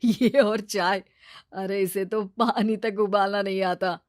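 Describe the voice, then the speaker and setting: A woman's voice: medium pitch, fairly even intonation, slow pace, no echo, studio quality, natural voice. A woman voicing a casual, teasing young man with an emphatic delivery in a cartoon story. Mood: scoffing. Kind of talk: animated storybook character acting.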